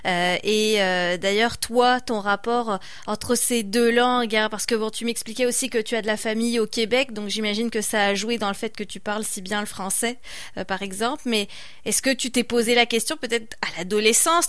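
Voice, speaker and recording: Woman host interviewing a singer, smooth higher voice, dry close sound, lots of mhm. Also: no echo. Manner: warm and encouraging, frequent affirming mhm. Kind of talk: interviewing a guest.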